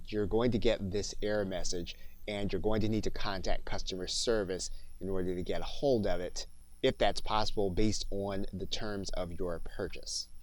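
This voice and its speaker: Low-voiced man narrating a tutorial, dry sound. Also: a little mellow, no echo. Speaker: software tutorial instructor. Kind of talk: step-by-step instructional narration.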